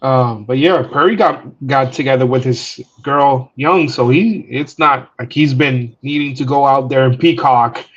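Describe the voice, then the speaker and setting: A man's voice: mid-range voice, a little slow, hardly any pauses, highs cut off. A man chatting casually on a live stream, laid-back, often repeating and restarting his words.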